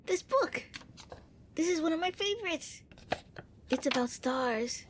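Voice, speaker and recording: Girl doing a high pitched shy vampire prince, bookish n polite, asmr roleplay, bit of rumbly noise behind. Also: lively intonation, unhurried. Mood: delighted, wistful. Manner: hesitant, politely fussy, earnest. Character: gentle, flustered young vampire prince, bookish and courteous.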